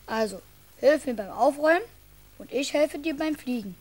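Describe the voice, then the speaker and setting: Casting: a plain-spoken young boy, high voice, protective and slightly cheeky, radio play. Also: animated, moderate pace, darkish tone. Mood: bossy. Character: schoolboy, protective, patient and a little cheeky.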